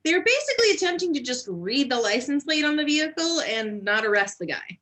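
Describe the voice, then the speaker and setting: A woman's voice: high-pitched, very animated, a little fast, a bit bright. A woman comedian narrating a scripted comic retelling of a story.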